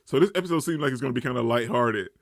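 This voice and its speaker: A man giving an excited, casual reaction commentary, exclamatory and slangy as he hosts. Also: mid-range voice, racing, creaky, no room sound, clean studio sound. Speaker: anime reaction host.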